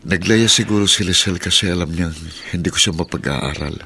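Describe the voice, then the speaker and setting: A man's voice: low-pitched, fairly quick, bright, forceful tone, a little hiss or hum. A man playing a weary, worried father who is convalescing, downcast and subdued, in a Filipino radio drama. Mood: weary worry. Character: weary, anxious father recovering from tuberculosis.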